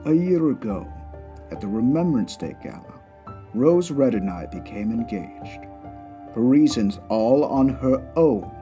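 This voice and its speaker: A man's voice: on the low side, mellow. A man playing a haughty, wealthy aristocratic villain in a comic dub, speaking with formal, pompous precision.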